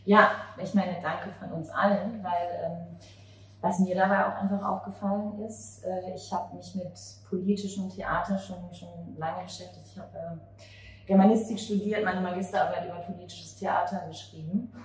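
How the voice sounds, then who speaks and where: Lowish-voiced woman interviewer, very echoey. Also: fairly even intonation, moderate pace. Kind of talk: conversational interviewing. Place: outdoor café table on a street at night. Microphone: handheld interview mic. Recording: very roomy with faint ambient noise.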